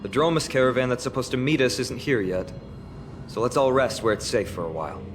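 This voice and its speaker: An actor playing a courteous, apologetic priest in a video game, explaining lore in a polite, measured way. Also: male voice, medium pitch, lightly acted. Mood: polite, explanatory. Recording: loud game music underneath.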